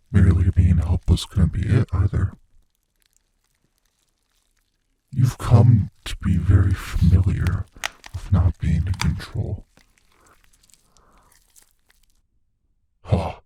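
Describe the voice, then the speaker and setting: A man playing a gleefully cruel monster from under the bed in an intimate ASMR audio roleplay, taunting and menacing in a breathy, whispery voice. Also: sits low, warm tone, full character voice. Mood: musing, sinister. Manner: slow, taunting, menacing, savoring words.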